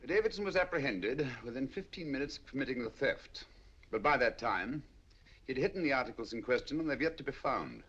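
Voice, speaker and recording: An actor playing a shrewd, calm detective, speaking in a measured, deliberate way in a 1940s film. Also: male voice, mid-range voice, breathy edge. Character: shrewd calm male detective, middle-aged. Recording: dull lo-fi 1940s film soundtrack.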